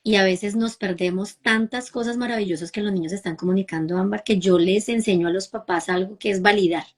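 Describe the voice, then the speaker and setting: A woman's voice: mid-range voice, a little fast. A woman from Bogotá with a Colombian accent, a mother speaking earnestly as a guest and often checking in with "¿sí?". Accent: Bogotá Colombian Spanish. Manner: warm, emphatic, repeats and asks "¿sí?" for agreement. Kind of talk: conversational talk.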